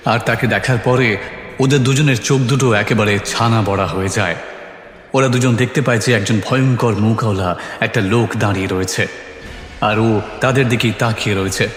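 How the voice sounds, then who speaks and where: A man's voice: fairly low pitch, a little hiss or hum. A man reading a scripted horror story as its narrator. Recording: echoey, long hall-like reverb.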